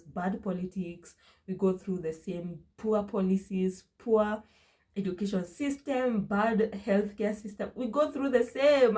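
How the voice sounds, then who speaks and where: Emphatic Kenyan woman, mellow voice. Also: medium pitch. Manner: emphatic, repeats phrases for stress. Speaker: vlogger. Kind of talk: casual opinion vlog.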